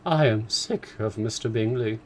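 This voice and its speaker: A man voicing an excitable, complaining middle-aged mother, raised well above his own voice with emphatic swoops. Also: medium pitch, fairly quick, slight background noise, pitched above the performer's own voice, lightly acted. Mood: indignant.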